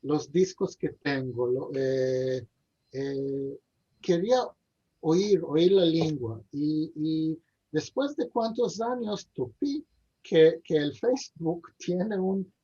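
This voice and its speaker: A man being interviewed over a video call, speaking haltingly with many pauses, fillers and repeated words. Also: a little high, lively intonation, warm tone, breathy edge. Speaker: interview guest. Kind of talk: hesitant conversational interview. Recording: dull lo-fi video call audio.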